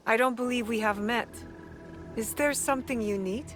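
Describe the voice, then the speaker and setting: An actress playing a serious, direct young shinobi woman in a video game, speaking with quiet resolve. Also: fairly high pitch, moderate pace, close to an everyday voice. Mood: polite, determined. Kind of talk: video game cutscene acting. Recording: music clearly behind the voice.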